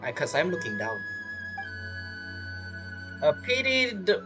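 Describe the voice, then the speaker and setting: A woman's voice: lowish voice, big pitch swings, slow. A woman giving casual, playful commentary on a video game as she plays, teasing and reacting to the dialogue. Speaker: gamer playing and commenting. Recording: faint game music behind.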